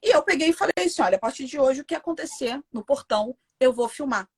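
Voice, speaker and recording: A woman's voice: high-pitched, lively intonation, fast talker. A Brazilian woman with a Brazilian Portuguese accent, a mother telling an interviewer her story in a casual, emphatic, indignant way.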